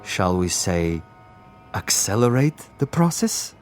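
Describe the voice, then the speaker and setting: An actor playing a suave, menacing commissar in a radio drama, speaking in a pompous, mockingly polite way. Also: male voice, fairly low pitch, sing-song, takes it slowly, over loud music. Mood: smug, menacing. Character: suave, menacing male commissar, patient schemer turning furious.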